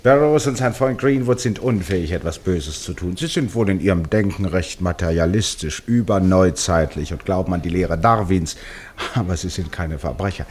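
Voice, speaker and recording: An actor in a German radio play playing an eccentric, unworried amateur detective who talks in teasing, rhetorical, dismissive turns. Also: male voice, sits low, moderate pace, darkish tone, husky. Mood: exasperated, persuading. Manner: rhetorical, breezily dismissive, exasperated.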